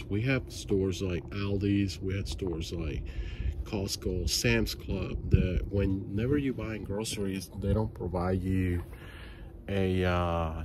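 A middle-aged man with a low voice talks through his camping gear in a casual, plain way. He takes his time, hesitating as he explains. It is recorded outdoors on a handheld phone with clear background noise. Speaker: gear reviewer, vlogger. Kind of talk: casual product walkthrough. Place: outdoors at night beside a truck. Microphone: phone at arm's length.

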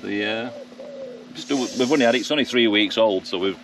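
A man's voice: lowish voice, moderate pace. A man with a Barnsley accent, showing his motorhome in a plain, matter-of-fact way. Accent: Barnsley, Yorkshire. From Yorkshire, England. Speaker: motorhome owner. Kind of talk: casual walkaround tour. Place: outdoors at a campsite. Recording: distant voice, wind and outdoor noise.

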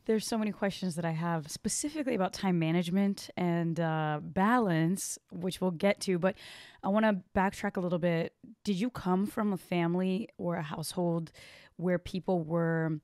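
A woman's voice: lowish voice, moderate pace, a little mellow, no room sound, clean studio sound. Chatty woman hosting a podcast. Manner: upbeat, chatty. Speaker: podcast host. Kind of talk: casual podcast interview. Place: home room with bookshelf. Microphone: studio mic on boom arm, video call.

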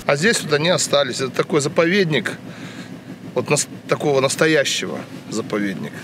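A man reflecting on a deserted place and the local people in a slow, thoughtful way. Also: a little high, lively intonation, distant mic, background noise. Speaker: traveler interviewed. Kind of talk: reflective interview talk.

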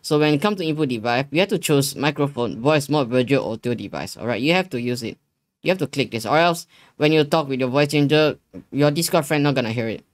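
Young male tutorial host, medium pitch, casual, repetitive and halting delivery, non-native phrasing. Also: expressive. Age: young adult. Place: living room gaming setup at home.

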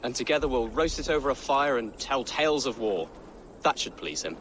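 A young man playing an earnest, gentle young nobleman in a game cutscene. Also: medium pitch, very animated, brisk, a little hiss or hum. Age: young adult. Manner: earnest, hopeful, softly spoken. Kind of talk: video game cutscene acting.

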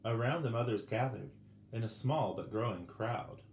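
A middle-aged man reading a children's picture book aloud with dramatic pauses, turning to the listeners with questions. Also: medium pitch, gravelly. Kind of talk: expressive children's storybook read-aloud. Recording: roomy indoor sound with faint background noise.

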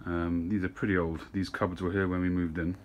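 A middle-aged man from England vlogging about pipe tobacco at his desk at home, talking in a relaxed, unhurried way with frequent ums. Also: deep voice, muffled recording, slight background noise. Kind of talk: casual talk to camera.

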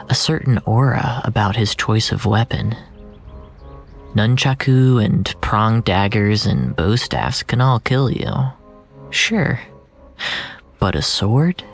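A man narrating first-person fiction in a deliberate, brooding, dramatic way, drawing out his phrases. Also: mid-range voice, slightly rough. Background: music.

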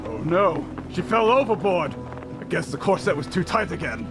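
A man's voice: sits high, big pitch swings, moderate pace, rough recording. A man voicing a whiny, exasperated pirate in an improvised comedy dub. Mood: squeamish. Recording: music under the dialogue.

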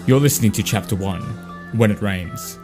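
A man's voice: on the low side, moderate pace. A man hosting a fiction podcast, reading a scripted introduction. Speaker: podcast host and writer. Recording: music under the voice.

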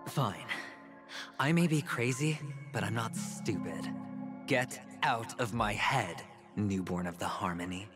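A young video game gambler with a low voice and a slow, deliberate delivery. He is theatrical and sardonic, a weary cynic coming apart, with music prominent behind him. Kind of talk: dramatic video game voice acting.

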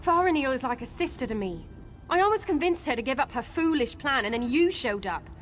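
An actress playing a wary, reluctant female wood elf in video game dialogue, cool, sceptical and deliberate. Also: high voice, expressive. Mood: reluctant, resigned. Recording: game dialogue over clear ambient noise.

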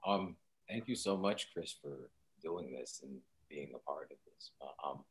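Deep-voiced young man on a video call. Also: unhurried, low-quality recording. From Seattle, Washington. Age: young adult. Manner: soft-spoken and reflective, many fillers. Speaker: guest artist. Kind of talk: casual interview on a video call. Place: home room, indoors.

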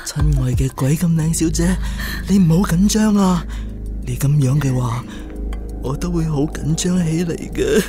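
A man playing an obsessive, clingy, excitable lovesick kidnapper in a radio drama, wheedling theatrically. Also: fairly high pitch, normal speed, faint music behind, natural voice. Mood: coaxing.

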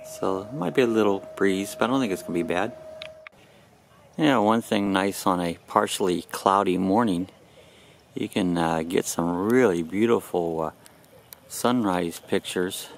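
An older man chatting casually while he films outdoors at a flying field, relaxed and rambling with lots of "uh" pauses, picked up by the camera mic. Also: sits low. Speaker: vlogger filming at a model airfield. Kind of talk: casual narration while filming.

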